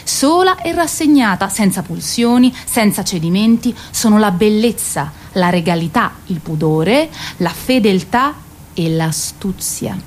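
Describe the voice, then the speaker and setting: A woman's voice: mid-range voice, animated, a little slow, a little hiss or hum, natural voice. Radio drama: actress as weary, proud waiting queen of myth, mid-range voice, theatrical delivery with bitter irony. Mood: ironic. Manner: declamatory, ironic, lingering on repeated words.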